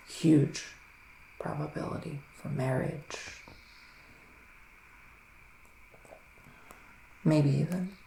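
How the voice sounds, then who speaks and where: Slow, hushed psychic witch played by a man. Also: a little high, roomy sound, a little hiss or hum, poor audio. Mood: gentle, prophetic. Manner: slow, deliberate, breathy pauses with sighs. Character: solemn psychic male witch giving a reading. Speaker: ASMR performer. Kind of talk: ASMR roleplay, soft-spoken and half-whispered.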